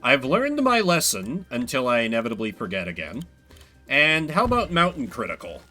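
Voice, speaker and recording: Guy streaming a game, bright punchy voice, really animated, wry little asides, bit of music behind. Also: medium pitch, moderate pace. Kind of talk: casual solo gameplay commentary.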